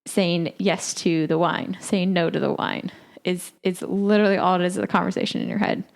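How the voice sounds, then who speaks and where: A young adult woman co-hosting a podcast, talking in a reflective, halting way with frequent filler words. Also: on the low side, fairly even intonation, gravelly. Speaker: podcast co-host. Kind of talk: casual chat.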